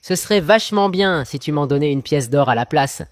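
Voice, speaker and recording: A man's voice: on the high side, animated, fairly quick, natural voice. A man playing a cheeky, argumentative young farm lad in a children's cartoon fairy tale, eager and playful. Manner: cheeky, eager, pun-loving. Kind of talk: children's cartoon storybook acting.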